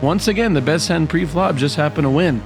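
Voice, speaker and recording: Hyped, slightly hoarse man narrating poker. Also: a little high, a little fast. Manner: hyped, dramatic play-by-play. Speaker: poker vlogger. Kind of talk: voice-over narration of poker hands. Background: background music.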